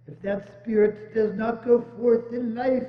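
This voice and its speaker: Guy as a mournful male ghost, slow n solemn, high voice, echoey hall. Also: steady tone. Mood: solemn, doomful. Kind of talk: dramatic stage reading. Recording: muffled, reverberant church hall.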